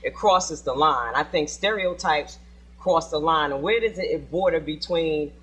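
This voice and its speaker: A man's voice: a little high, measured pace, bright, forceful tone, breathy edge. A man hosting a studio panel discussion in front of an audience, asking probing questions in an informal way and repeating guests' answers back to them. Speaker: talk show host.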